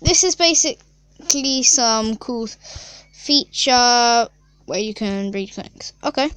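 A woman's voice: fairly high pitch, slow pace, a bit bright, smooth. A young female gaming YouTuber chatting casually and rambling through a mobile game, with lots of fillers and giggles.

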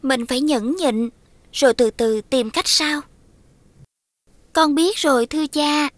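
A woman playing a gentle, devoted young maiden in an animated fairy tale, speaking with formal courtesy. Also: high voice, takes it slowly, no echo. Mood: dutiful, pleading. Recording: clear music behind the voice.

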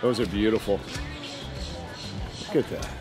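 A man's voice: lowish voice, measured pace, music in the background. Chatty man with a Maine accent. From Maine, USA. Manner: chatty, rambling, lots of fillers and restarts. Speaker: vlog host. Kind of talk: casual outdoor vlog chat. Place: outdoors in a town.

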